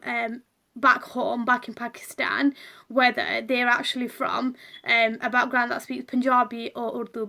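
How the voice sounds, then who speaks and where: A woman's voice: high-pitched, bright, forceful tone. A young woman talking openly to her viewers in a chatty personal vlog, with lots of ums and restarts. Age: young adult. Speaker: vlogger.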